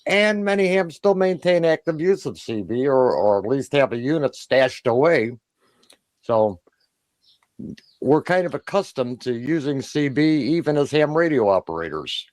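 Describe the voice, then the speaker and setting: An older American man with a medium-pitched voice and a very dry sound. He explains things as a co-host in a measured, factual manner. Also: animated, darkish tone, no room sound. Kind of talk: explaining in conversation.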